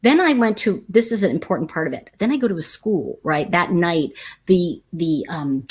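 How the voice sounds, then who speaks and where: Chatty, husky American woman. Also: lowish voice, animated, normal speed. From United States. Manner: chatty, rambling with many restarts and fillers. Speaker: tarot teacher and host. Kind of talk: casual storytelling livestream.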